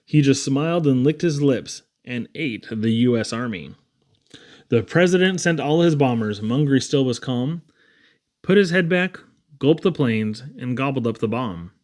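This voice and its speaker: A man reading children's poetry aloud in an expressive, theatrical storytelling style with dramatic emphasis. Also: medium pitch, unhurried. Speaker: reader.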